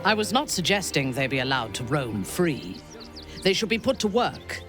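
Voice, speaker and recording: A man's voice: fairly high pitch, big pitch swings, moderate pace, music in the background. A man playing a stern, outspoken outsider in a video game, speaking in a formal, blunt, argumentative way. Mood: indignant, arguing. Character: stern outspoken outsider arguing for hard pragmatism.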